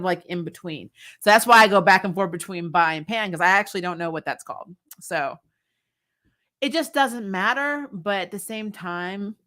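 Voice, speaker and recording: A woman's voice: mid-range voice, sharp tone. A woman hosting a fan recap show, chatting casually and bluntly about TV with loose, informal phrasing and some swearing. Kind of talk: casual livestream chat about TV shows.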